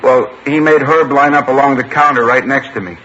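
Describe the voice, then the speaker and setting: Actor as an older shopkeeper, bright and tense, earnest retelling, dull radio-drama sound. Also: male voice, mid-range voice, a little fast. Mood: recounting. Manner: earnest, step-by-step recounting. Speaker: robbery victim and witness. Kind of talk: 1950s radio crime drama acting. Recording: dull old radio broadcast with faint hiss.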